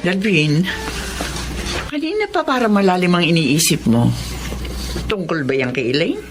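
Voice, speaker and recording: An actress playing an observant, inquisitive businesswoman in a Filipino radio drama, with a probing, expressive delivery. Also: on the low side, a little slow, over loud music. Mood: curious, probing.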